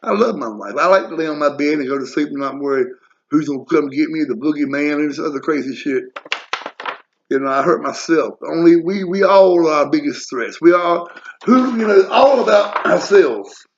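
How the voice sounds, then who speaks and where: A man's voice: a little high, lively intonation, moderate pace, slight background noise. Blunt, profane man in his forties. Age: 40s. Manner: blunt, profane, wandering asides. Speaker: vlogger. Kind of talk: rambling personal monologue. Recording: lo-fi muffled audio.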